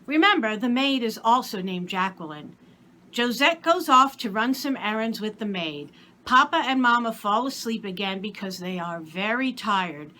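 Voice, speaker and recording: A woman reading a story aloud in expressive, scripted storybook narration. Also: on the high side, normal speed, low-quality recording. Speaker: story reader.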